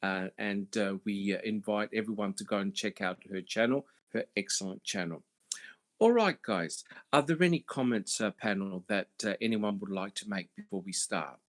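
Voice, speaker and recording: A man hosting a casual panel podcast, speaking haltingly with many fillers. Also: lowish voice. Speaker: podcast host.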